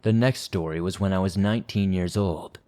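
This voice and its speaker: A man hosting a horror podcast, reading listener stories aloud in a steady, measured way. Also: deep voice. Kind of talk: scripted horror story narration.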